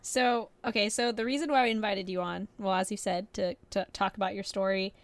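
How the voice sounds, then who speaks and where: A woman with a creaky, mid-pitched voice hosts a casual podcast conversation. She is chatty and encouraging, and the recording is dry and close. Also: steady tone, fairly quick, no room sound. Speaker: podcast interviewer.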